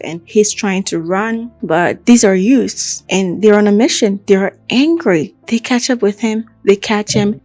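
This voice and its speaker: A woman's voice: medium pitch, no echo. Nigerian woman telling true crime, chatty. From Akwa Ibom, southern Nigeria. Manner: chatty, digressive, animated retelling with asides. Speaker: true crime podcast host. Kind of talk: casual conversational true crime storytelling.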